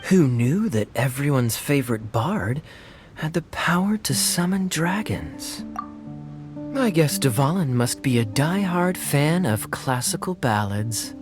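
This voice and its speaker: A suave young male knight in a video game. He teases with sardonic, smug ease, his mid-pitched voice moving up and down a lot over music. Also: animated, takes it slowly. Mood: breezy, wry. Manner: smooth-talking, sardonic, playful. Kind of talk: animated video game voice acting. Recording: music behind the voice.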